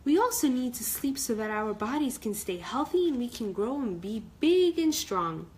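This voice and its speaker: Woman as a patient, high-voiced mother-bear schoolteacher. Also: sing-song, normal speed, darkish tone. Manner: patient, encouraging, teacherly.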